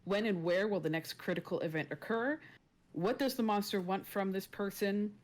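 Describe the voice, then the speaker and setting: A woman's voice: medium pitch, normal speed, a little mellow. A woman chatting casually as a player in a tabletop roleplaying game.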